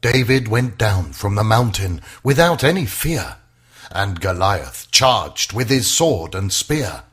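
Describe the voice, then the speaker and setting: Male narrator for kids' bedtime tale, theatrical rhyming read, very lively pitch, slightly slow. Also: breathy edge. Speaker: storyteller. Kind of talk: rhyming scripted children's bedtime story narration.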